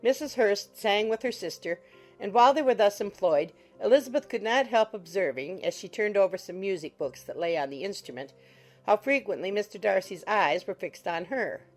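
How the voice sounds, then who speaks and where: A woman's voice: medium pitch, animated, normal speed, rough recording. A woman reading scripted literary narration as an audiobook narrator. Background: soft music.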